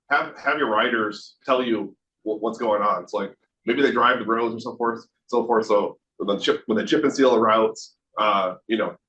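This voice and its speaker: Hesitant low-voiced man, lo-fi room. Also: fairly bright tone. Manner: hesitant, lots of fillers and restarts. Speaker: workshop participant. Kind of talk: casual group discussion. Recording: dull lo-fi room recording with faint noise.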